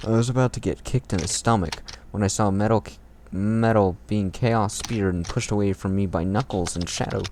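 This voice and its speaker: A man's voice: fairly low pitch, moderate pace, darkish tone, a little hiss or hum. A man reading fan fiction as an amateur, stumbling over words and breaking into casual commentary.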